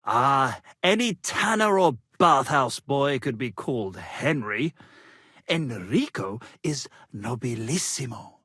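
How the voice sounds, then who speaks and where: Pompous, swooping poet. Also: male voice, mid-range voice, expressive, slow pace, bright, forceful tone, husky, dry recording, studio quality, full character voice. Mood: haughty, scornful. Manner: flowery, grandiloquent, melodramatic. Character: pompous, florid wandering poet-musician, vain and theatrical young man. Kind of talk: theatrical medieval video game dialogue.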